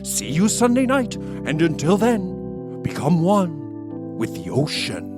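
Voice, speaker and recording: A grandiose sea-going guru delivers old-time radio sales patter. His pitch swings widely and theatrically, and music plays behind him. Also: wildly swooping pitch, slow, full character voice. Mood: grandiose, salesy. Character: pompous self-styled mystic bosun hawking his book.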